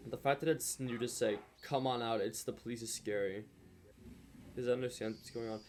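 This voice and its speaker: A man's voice: medium pitch, fairly even intonation, normal speed, darkish tone, slight background noise. A man hosting a reaction video with casual, rambling, emphatic commentary.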